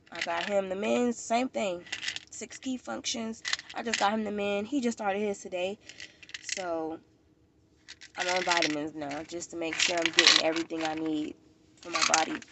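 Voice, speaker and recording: A woman's voice: mid-range voice, a little slow, vocal fry, background noise. An American woman vlogger with a stuffy nose, chatting casually and informally to the camera, rambling and repeating herself. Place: outdoors at a park.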